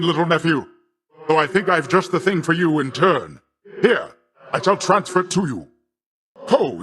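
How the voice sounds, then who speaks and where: Boastful old sea god uncle, video game style, theatrical and grand, voice a bit on the high side for a man. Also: fairly bright tone. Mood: delighted, gracious, then concerned. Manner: pompous, theatrical, grandly old-fashioned wording. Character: boisterous, boastful sea god, an older uncle, pompous yet affectionate. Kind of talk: video game character voice acting.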